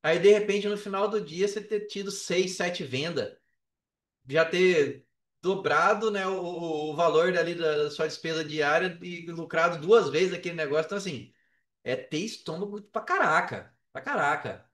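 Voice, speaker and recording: A Brazilian man with a fairly high voice chats casually on a podcast. He tells things in slangy, animated fashion. The sound is roomy, with him slightly away from the microphone. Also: moderate pace. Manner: slangy, animated storytelling with acted-out quotes. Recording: roomy echo, distant from mic.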